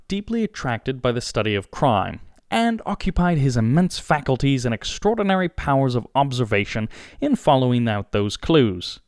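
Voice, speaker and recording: A man reading scripted literary narration for an audiobook, with measured, dramatic phrasing and pauses. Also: mid-range voice, clear, resonant tone, dry recording, clean studio sound.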